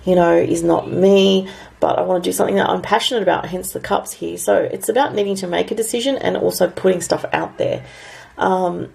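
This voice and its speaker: An Australian woman with a raspy, gravelly voice, still a little worn from being sick. She talks through her astrology and tarot forecast in a loose, rambling way, full of fillers. Her tone sits somewhat low. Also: fairly even intonation, brisk, a little mellow. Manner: rambling, filler-heavy, thinking aloud. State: raspy from recent illness.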